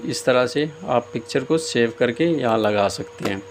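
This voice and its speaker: A man giving a casual step-by-step screen tutorial as host, often pausing hesitantly mid-sentence. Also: medium pitch, lively intonation. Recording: clear background noise, room ambience.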